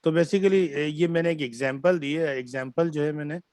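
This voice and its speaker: A man's voice: fairly high pitch, normal speed, a little mellow, no room sound. A man with a Pakistani accent teaching a French class online, explaining patiently and switching between Hindi-Urdu and English with many fillers.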